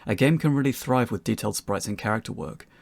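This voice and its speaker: Guy narrating a tutorial, talks quick, raspy voice, really dry sound. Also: on the low side, no echo. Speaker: presenter of a tutorial talk. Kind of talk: scripted narration for an instructional video.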